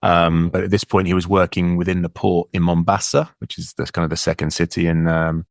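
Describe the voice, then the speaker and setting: A man's voice: low voice, little pitch movement, a little fast, gravelly, no room sound, clean studio sound. A man of about fifty with an English accent, a guest talking reflectively and repeating words as he gathers his thoughts. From England. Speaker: guest, leadership coach. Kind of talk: casual interview talk.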